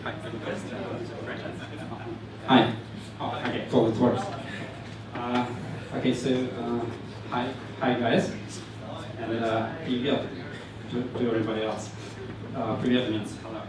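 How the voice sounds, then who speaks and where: A man's voice: medium pitch, level tone, distant mic, a crowd in the background. Ukrainian-accented man in an echoey room. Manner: halting, frequent fillers, joking asides. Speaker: meetup speaker. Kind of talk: presentation to a live audience. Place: meetup room with audience.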